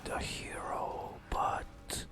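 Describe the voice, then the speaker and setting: Very slow whispering man. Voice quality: breathy whisper. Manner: slow, hushed, dramatic storytelling with long pauses. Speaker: ASMR gaming storyteller. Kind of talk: soft ASMR narration of a fantasy game.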